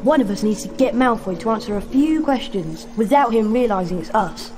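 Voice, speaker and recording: A woman's voice: mid-range voice, animated, music in the background, natural voice. A woman with an English accent voicing a modest, curious schoolboy wizard hero in a video game. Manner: measured, questioning. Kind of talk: video game cutscene acting.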